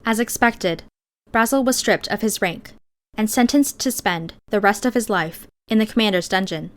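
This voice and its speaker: Woman, medium pitch, scripted storytelling, first-person fiction audiobook narration. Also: moderate pace.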